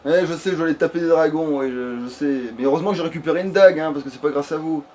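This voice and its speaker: A man's voice: a little high, very fast, a little mellow, slight background noise. A man giving casual, rambling gameplay commentary as a let's play host, swearing freely and talking crudely.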